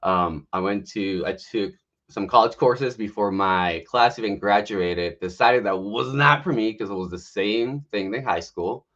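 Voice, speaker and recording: Lo-fi, low-voiced man in his thirties from Mexico. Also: a little slow, fairly bright tone. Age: 30s. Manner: candid, self-mocking storyteller who laughs often. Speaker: guest health coach. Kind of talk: casual life story on a livestream.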